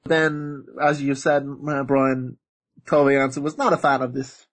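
A man's voice: mid-range voice, little pitch movement, a little slow, a bit bright. A man co-hosting a casual podcast, rambling and joking as he talks. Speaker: podcast co-host. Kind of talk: casual chat about animation.

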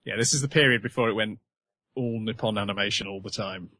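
A man's voice: fairly low pitch, fast, bright, forceful tone, no room sound. A man hosting a casual podcast chat, steering the topics and laughing often. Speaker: podcast host. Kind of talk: casual chat about animation.

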